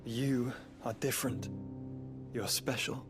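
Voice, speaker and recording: An earnest, learned young nobleman , played by a man, speaks in a fantasy game cutscene. His delivery is solemn and formal with archaic phrasing, at a medium pitch, with music clearly behind him. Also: flat delivery, slow, lightly acted.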